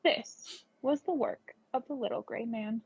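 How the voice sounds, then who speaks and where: A woman's voice: fairly high pitch, a little slow, vocal fry, breathy edge. A woman reading a scripted children's story aloud. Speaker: storybook reader. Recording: dull lo-fi recording.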